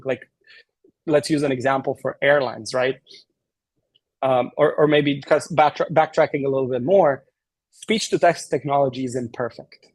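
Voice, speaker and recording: A man's voice: mid-range voice, gravelly, slightly rough, no room sound, low-quality recording. A man from Romania with a slight Romanian accent, explaining things as a guest in a podcast interview, with lots of fillers and restarts. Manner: fast, filler-heavy explaining with many restarts. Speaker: tech company guest.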